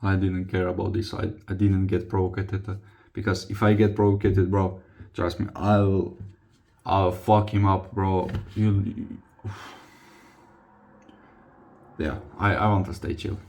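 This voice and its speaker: A man's voice: deep voice, little pitch movement, takes it slowly, gravelly, darkish tone, lo-fi sound. A young man from Croatia with a Croatian accent, chatting casually to camera in non-native English, hesitant as he searches for words. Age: young adult. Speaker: vlogger, football player. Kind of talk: casual confessional chat to camera.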